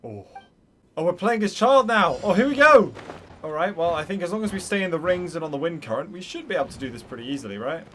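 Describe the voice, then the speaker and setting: A man's voice: a little high, animated, quick pace, fairly bright tone. A man giving casual commentary as host of a gaming playthrough video. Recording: faint noise behind voice.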